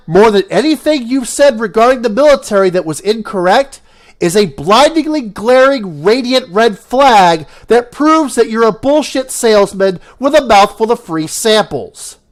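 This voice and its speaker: Nasal congested voice, scripted mocking commentary, profane, very lively pitch. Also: a little slow. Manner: sarcastic, profane, theatrical emphasis. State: congested from a cold. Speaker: YouTube commentator.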